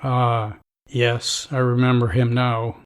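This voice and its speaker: A man's voice: medium pitch, level tone, slow, clear, resonant tone, lightly acted. A man playing a stern, rule-bound vault overseer. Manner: dry, rule-bound, dismissive. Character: stern middle-aged male vault overseer. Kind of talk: audio drama acting.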